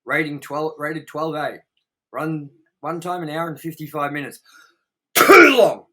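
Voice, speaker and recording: Male review host, bright slightly high voice, exasperated, sweary, casual chat. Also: animated, measured pace, low-quality recording. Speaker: host of a movie review series.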